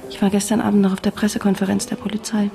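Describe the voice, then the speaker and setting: A woman playing a guarded, self-questioning young reporter in a radio drama, at times in hushed, intimate asides. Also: mid-range voice, completely flat delivery, rapid-fire, faint music behind, lightly acted. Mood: guarded, conversational. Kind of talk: radio drama acting with whispered inner monologue.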